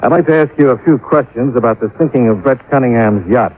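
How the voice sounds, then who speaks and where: A tinny, lightly hissing vintage radio recording of a man acting a hard-boiled American investigator. He is clipped and wry, with a skeptical edge and a medium pitch. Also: fast, natural voice. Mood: probing, skeptical. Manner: dry, clipped, sardonic. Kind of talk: 1950s radio detective acting. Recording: tinny, hissy old-time radio recording.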